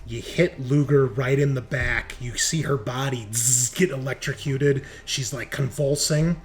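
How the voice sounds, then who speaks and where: A man's voice: medium pitch, fairly even intonation, a little slow. Casual man running a tabletop game. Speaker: game master.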